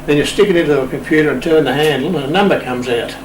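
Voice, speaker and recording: A man's voice: fairly high pitch, fast talker. An older Australian man being interviewed, telling anecdotes in a dry, wry, plain-spoken way. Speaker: interview guest, sailing official. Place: indoor room, radio shack. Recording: roomy, distant mic, steady hum.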